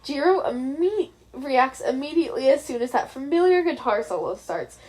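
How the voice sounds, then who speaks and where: A woman's voice: sits high, animated, normal speed, darkish tone, breathy edge. A woman reading fan fiction aloud as an amateur narrator, in scripted storytelling with casual asides.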